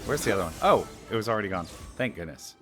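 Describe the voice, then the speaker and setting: A man with a low voice casually commentates on a game. He rambles and thinks aloud in let's play style, with faint music behind him. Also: expressive, fast talker.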